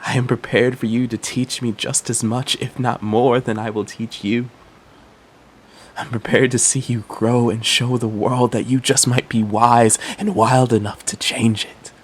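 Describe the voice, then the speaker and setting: A man performing a poetic monologue as an earnest, troubled would-be father, speaking in a measured, reflective way. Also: mid-range voice, level tone, a little hiss or hum, lightly acted. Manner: measured, reflective, spoken-word delivery.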